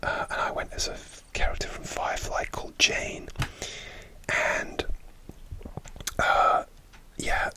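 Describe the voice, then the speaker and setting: A man whispering close to the mic, telling a rambling story for an ASMR video with many pauses and fillers. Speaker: ASMR creator.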